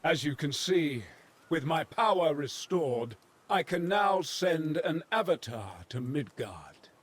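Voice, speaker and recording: An actor playing an aloof, all-powerful father god in a video game, with measured, regal delivery. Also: on the high side, big pitch swings, breathy edge, heavily acted. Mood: imperious. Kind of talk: dramatic video game cutscene acting.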